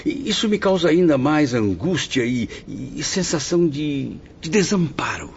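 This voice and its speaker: A man's voice: on the high side, big pitch swings, moderate pace. A Brazilian man acting in a radio drama as an educated, troubled patient, speaking earnestly and formally. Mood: anxious, hesitant. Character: anxious, educated male patient, a suffering spirit writer. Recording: muffled, faintly noisy radio drama recording.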